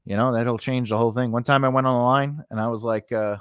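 A man's voice: medium pitch, steady tone, fast, a bit bright, clean tone, no echo. A middle-aged man hosting an informal lesson into a close studio microphone, rambling conversationally with blunt asides and anecdotes. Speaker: host teaching scriptwriting and casting. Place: indoor home studio, close and dry. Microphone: large studio microphone on boom arm, close.

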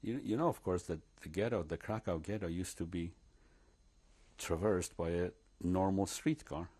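An older man from Poland, seated in a living room with a lapel mic, recounting his memories slowly and reflectively, with many pauses and restarts. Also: sits low, lively intonation, warm tone, husky. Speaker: interviewee.

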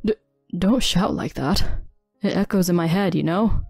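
A selfish husband in a domestic story, played by a woman, whining and bossy in an irritated, demanding way. The voice has a creaky edge and sits dry and close over background music. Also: on the low side, normal speed, a little mellow, no room sound. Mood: indignant. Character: selfish whiny arrogant husband, adult man. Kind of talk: dramatized domestic story narration.

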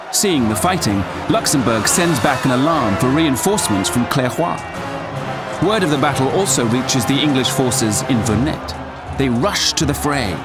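A man delivering dramatic scripted narration for an audio drama. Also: medium pitch. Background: loud dramatic music.

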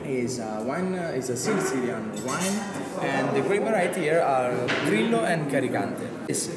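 A young man explains the wines at a tasting, pausing often with "uh". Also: fairly high pitch, expressive, loud music behind the voice. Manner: hesitant, with many "uh" pauses. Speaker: winery guide. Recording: echoey room with crowd noise.

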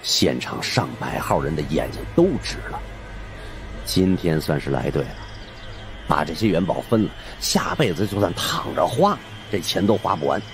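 A man's voice: sits low, lively intonation, moderate pace, soft background music. A man narrating a story as a dramatic scripted audiobook in the first person. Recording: faint background noise.

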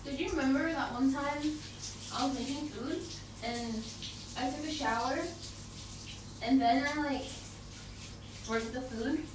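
A woman doing an intimate girlfriend roleplay in casual one-sided chat, playful and teasing, often halting and repeating words. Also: high-pitched, recorded from a distance. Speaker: girlfriend roleplay audio performer. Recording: very echoey bathroom-like sound, off-mic, background water noise.

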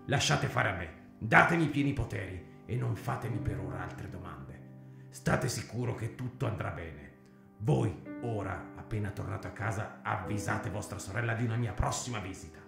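A man voices a resolute, fatherly plotter with a low, heavily creaky voice. He is measured and authoritative in radio-drama style, echoing strongly over guitar music. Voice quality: gravelly. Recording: very echoey room, guitar music behind.

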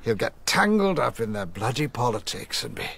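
An actor playing an ageing, sardonic, commanding king with a gravelly voice in a radio drama. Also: medium pitch, very animated, normal speed. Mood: scheming. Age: older.

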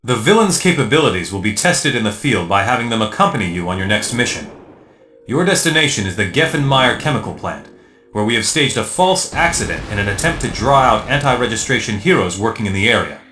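An actor playing an earnest, authoritative superhero leader giving a measured mission briefing in a video game. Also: male voice, on the low side, big pitch swings, pushed and bright. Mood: solemn, resolute. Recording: roomy echo, music underneath.

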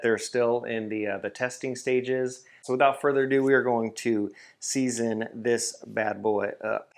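A man in his thirties hosting a home grilling vlog, upbeat and hyped, who breaks into loud whoops. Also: mid-range voice. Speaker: grilling channel host. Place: home kitchen and backyard.

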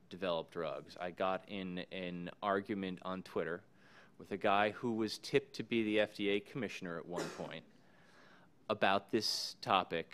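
Low-voiced man speaking at a panel. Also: a bit bright, smooth. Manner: measured, thoughtful, with frequent ums. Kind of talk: panel discussion remarks. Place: conference room. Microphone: podium microphone.

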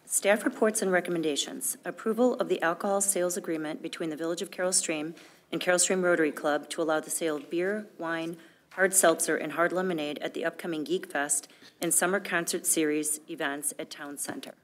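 A woman's voice: on the low side, steady tone, brisk. A middle-aged woman reading official documents aloud as a clerk, formal and matter-of-fact. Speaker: village clerk. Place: indoor board meeting room, at a desk.

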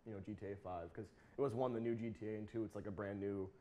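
A man in his twenties hosting a casual panel chat about video games in a jokey, animated way. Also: fairly low pitch, rapid-fire. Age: 20s. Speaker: talk show host. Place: small TV studio, seated round a table. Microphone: lapel mic.

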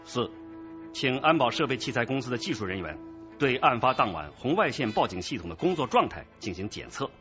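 Male detective chief, radio drama: forceful, expressive middle-pitched voice, methodical, patient, affable authority. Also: a little fast, soft background music. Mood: businesslike, firm. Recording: dull lo-fi radio drama track.

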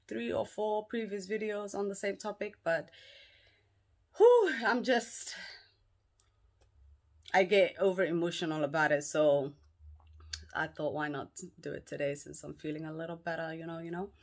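A woman's voice: on the low side, normal speed, pauses often. A young woman telling an emphatic, slangy story to her vlog audience. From London. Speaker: vlogger.

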